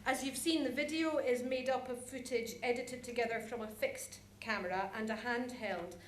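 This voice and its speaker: A woman's voice: on the high side, normal speed. A woman giving an informal conference presentation in a chatty, self-deprecating way. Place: conference hall, live presentation. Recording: echoey room with background noise.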